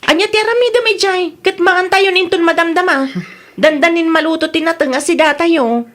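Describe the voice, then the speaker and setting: A woman acting a nagging, no-nonsense housewife in a comedy radio drama. Her voice is high, with an Ilocano accent and a scolding village bluntness. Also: fairly quick.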